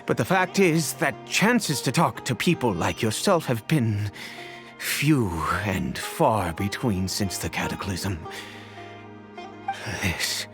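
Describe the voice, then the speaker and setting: An actor playing a weary, bitter, cursed immortal nobleman and grieving father in a video game, with a theatrical, halting, anguished delivery. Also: fairly low pitch, animated, music in the background. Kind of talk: dramatic video game voice acting.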